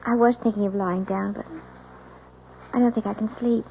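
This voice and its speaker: An actress playing an anxious young newlywed wife in an old-time radio mystery, halting and pleading, repeating herself. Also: female voice, medium pitch, fairly even intonation, a little hiss or hum, natural voice. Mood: uneasy, evasive. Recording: dull old radio recording, faint music.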